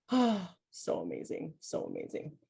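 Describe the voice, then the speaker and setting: Host: woman, low voice, reflective and friendly, casual talk full of fillers. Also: huge pitch swings, measured pace, vocal fry, slight background noise. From Hawaii. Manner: warm, reflective, with many "like" and "you know" fillers. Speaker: host, mental health coach. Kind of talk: casual chat between friends.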